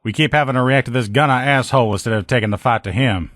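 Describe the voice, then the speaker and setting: A man's voice: mid-range voice, fast talker, fairly bright tone, dry recording, studio quality, close to an everyday voice. A man playing a folksy, loyal army lieutenant. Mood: matter-of-fact, determined. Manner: folksy, informal soldier talk. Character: gruff, loyal, upbeat army lieutenant and power armor mechanic. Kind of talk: video game character dialogue.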